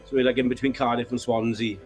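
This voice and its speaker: Man, Welsh accent, band guest, earnest, plain-spoken, mid pitch. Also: flat delivery, fast talker, slightly rough, faint music behind. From Wales. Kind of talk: casual interview chat.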